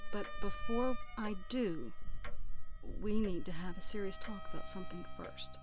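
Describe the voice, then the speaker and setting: A woman's voice: mid-range voice, normal speed, music in the background, lightly acted. An actress playing a protective, defiant mother who works as a nurse in a horror audio drama, earnest and emotional. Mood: concerned.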